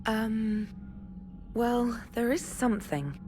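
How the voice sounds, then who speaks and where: A woman's voice: on the high side, animated, slow pace, background noise. A woman playing an earnest communications specialist in a science fiction game, hesitant yet precise. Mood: tentative, explaining. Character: earnest, tentative young female communications specialist. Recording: music behind the voice.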